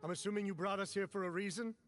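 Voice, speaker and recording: A man's voice: high voice, fairly even intonation, rapid-fire, fairly bright tone, soft background music. A man playing a pragmatic, no-nonsense gang member who keeps order in a video game. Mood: firm, calming. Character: firm, pragmatic peacemaking male gang member. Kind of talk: video game dialogue acting.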